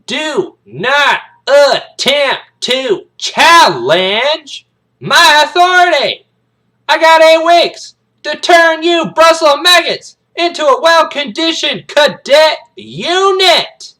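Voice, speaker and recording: A man voicing a furious, hardcore Marine drill officer who barks drawn-out orders and mocking insults at young cadets. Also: very high voice, expressive, bright, forceful tone, voice pushed up, exaggerated voice. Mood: menacing, booming. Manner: barking, drawn-out commands full of mocking insults.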